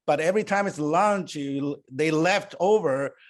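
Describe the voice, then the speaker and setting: An older man born and raised in Taiwan, speaking English as a second language and answering interview questions in a halting way, with many fillers and restarts. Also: a little high. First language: Chinese. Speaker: guest.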